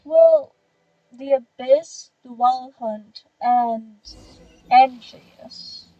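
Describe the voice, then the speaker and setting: A woman's voice: high-pitched, very slow, dark tone, no echo, dull audio. A woman hosting a gaming video with casual, slangy commentary and some swearing.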